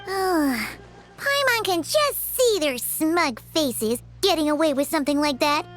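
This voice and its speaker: A tiny, naive fairy sidekick from a video game, with an extremely high, childlike voice. The delivery is chirpy and expressive, swinging widely in pitch over prominent music. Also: female voice, unhurried. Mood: surprised, indignant. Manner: refers to self in third person, expressive.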